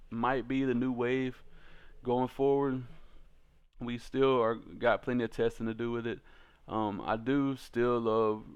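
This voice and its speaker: A man hosts a card game video in a clean, smooth voice of average pitch. He talks viewers through in an informal, slangy way, like casual commentary among players. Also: highs cut off. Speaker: card game content creator and host.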